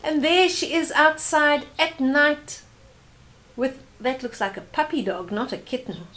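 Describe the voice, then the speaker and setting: An older woman reading a bedtime story aloud to young children, gently and with asides and questions to the listener. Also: high voice, unhurried.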